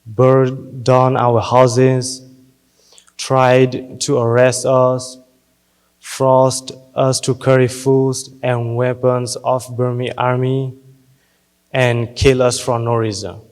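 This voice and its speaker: A young man from Thailand delivers a prepared graduation speech in a slow, careful voice of middle pitch. The sound rings out with a long reverb, as though in a large school hall. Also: flat delivery, darkish tone, clear, resonant tone. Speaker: graduating student.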